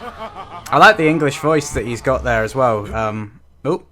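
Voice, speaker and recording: A man gives casual reaction commentary in a bright, crisp-edged voice. His delivery is animated and chatty, rambling along with amusement. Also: mid-range voice, a little slow. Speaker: reaction video host.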